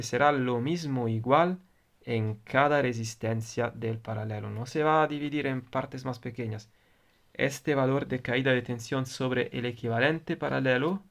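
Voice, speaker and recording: A man's voice: medium pitch, gravelly. A man with an Italian accent, a native Italian speaker, teaching an electronics lesson and often repeating and rephrasing his points. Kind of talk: explaining electronics on video.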